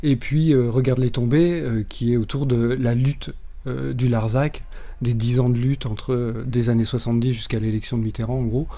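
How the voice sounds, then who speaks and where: A man working as an interviewer, speaking unscripted and haltingly, often repeating words as he searches for the next one. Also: medium pitch, steady tone, dark tone. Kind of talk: spoken interview question, unscripted.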